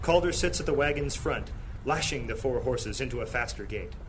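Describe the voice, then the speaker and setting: A man's voice: medium pitch, expressive, moderate pace, a little hiss or hum. A man narrating a fantasy audio drama in a scripted, dramatic storytelling style.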